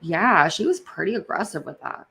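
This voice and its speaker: A woman's voice: lowish voice, lively intonation, normal speed, creaky, pushed and bright. A woman hosting a casual livestream, reacting to videos in a rambling way, repeating herself and talking straight to her viewers. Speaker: livestream host.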